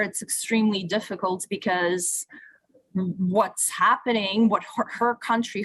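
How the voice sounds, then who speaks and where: A woman from Ukraine, a native Russian speaker, speaking as an interview guest in a halting way, searching for words. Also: mid-range voice, a bit bright, rough recording. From Odessa, Ukraine; moved to Canada at eleven. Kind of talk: radio interview, personal account.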